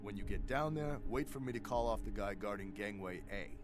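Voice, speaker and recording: An actor playing an irritable, sarcastic crooked cop in a video game, exasperated, wisecracking and bossy. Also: male voice, lowish voice, animated, brisk, lightly acted. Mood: brisk, bossy.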